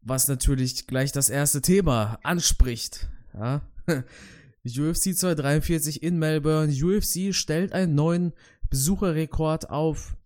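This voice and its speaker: A man's voice: on the high side, measured pace, a little mellow. Hesitant German man on a sports podcast. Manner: hesitant, many fillers and restarts. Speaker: podcast co-host. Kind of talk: casual sports podcast chat.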